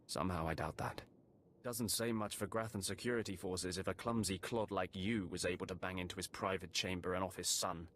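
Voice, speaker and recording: An actor playing a scornful, condescending spy in a video game, self-satisfied and quietly threatening. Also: male voice, deep voice, fairly even intonation, a little fast, a little hiss or hum. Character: smug, scornful double-crossing male spy.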